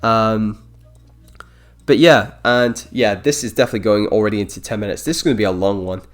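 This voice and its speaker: A man's voice: on the low side, fairly even intonation, brisk. A man from Scotland talking casually to his vlog in an unscripted, rambling way, often interrupting himself and thinking aloud. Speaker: vlogger, dance choreographer and producer. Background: background guitar music.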